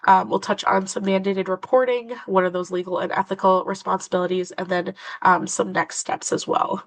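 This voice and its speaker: A woman presenting a webinar as a prevention education coordinator, slightly nasal from a cold, pausing often with many fillers. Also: medium pitch, flat delivery, dry recording.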